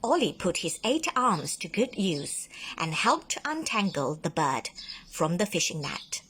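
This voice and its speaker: A woman narrating a children's bedtime story in a deliberate, dramatic manner, stretching words for emphasis. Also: fairly low pitch, big pitch swings, a bit bright, a little hiss or hum. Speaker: speech and drama teacher reading a story.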